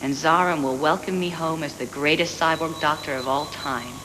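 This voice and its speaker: A man's voice: fairly high pitch, fairly even intonation, normal speed, close to an everyday voice. A man playing a proud, obsessive cyborg doctor and schemer in an anime dub on worn tape. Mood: scheming, grandiose. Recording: noisy worn VHS dub, long reverb.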